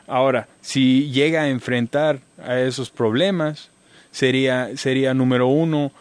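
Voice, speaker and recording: A man's voice: medium pitch, steady tone, clean tone, no room sound. Guy lawyer on radio, lots of um and restarts, dry close sound. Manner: halting, many restarts and fillers. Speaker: immigration lawyer guest. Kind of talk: radio interview answers.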